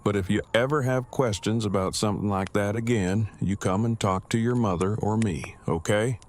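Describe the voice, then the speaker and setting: A man's voice: on the low side, moderate pace, darkish tone, a little hiss or hum, natural voice. A man playing an earnest, brainy, protective father in an audio drama, speaking in formal, wordy, precise sentences. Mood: gentle.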